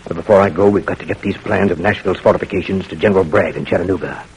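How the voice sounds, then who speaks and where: An actor playing a grave, commanding Confederate spy captain in an old radio drama, speaking in a measured, authoritative, solemn way. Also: male voice, low voice, steady tone, a little mellow, low-quality recording. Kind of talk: 1940s radio drama acting. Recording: old radio recording, faint noise.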